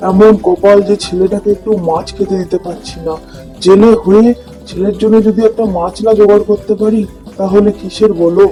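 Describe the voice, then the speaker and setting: A man's voice: sits high, fairly even intonation, a little fast, mellow, natural voice. A man voicing a poor, kind-hearted village fisherman and father in a cartoon, with a plaintive manner. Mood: sorrowful. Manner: plaintive, lamenting. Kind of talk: cartoon dubbing. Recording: music under the voice.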